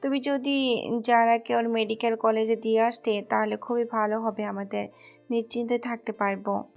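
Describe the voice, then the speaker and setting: A woman playing a polite, gentle middle-aged mother in an audio drama. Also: on the high side, level tone, moderate pace, vocal fry, lo-fi sound, faint music behind. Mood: politely requesting. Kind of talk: Bengali audio drama acting.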